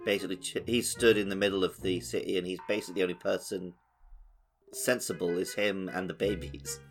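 A man's voice: deep voice, flat delivery, fairly quick, music in the background. A man co-hosting a gaming podcast, chatting casually in a wry, conversational way. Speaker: podcast co-host.

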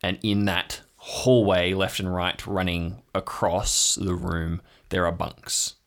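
A man's voice: deep voice, flat delivery, slow. A man narrating an improvised horror tabletop game as game master, in a descriptive, suspenseful storytelling manner.

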